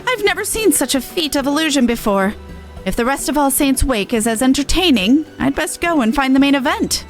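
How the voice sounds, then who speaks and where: A woman voicing an excitable, easily amazed townsperson at a festival in a fantasy game. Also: high-pitched, brisk, faint music behind, voice pushed up. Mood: astonished.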